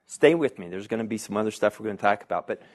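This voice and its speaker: A man's voice: lowish voice, lively intonation, rapid-fire. Man lecturing a community health audience. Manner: conversational, repeats audience questions, asks rhetorical questions. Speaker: physician giving a health talk. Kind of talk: public lecture with audience questions.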